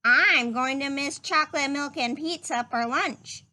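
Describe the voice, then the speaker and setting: Woman reading as high-voiced earnest little schoolkid. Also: measured pace, sharp tone, full character voice. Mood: wistful. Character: young schoolchildren, earnest and wistful, in a storybook.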